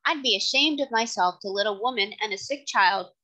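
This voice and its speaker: A woman's voice: medium pitch, brisk, pushed and bright, poor audio. A woman voicing a kindly, firm male cab driver in a storybook, plain-spoken and respectful. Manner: plain-spoken, respectful working-class address.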